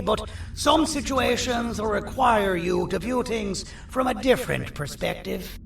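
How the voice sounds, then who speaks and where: A man voicing an eccentric, scheming monster surgeon and tinkerer in a video game, with a gravelly voice and a grand, wordy, sly delivery that stretches words for emphasis. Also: sits high, fairly bright tone, heavily acted. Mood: showman-like, eager. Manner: theatrical, grandly verbose, drawn-out emphatic words with wry asides. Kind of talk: video game cutscene character acting. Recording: roomy echo, distant, faint background noise.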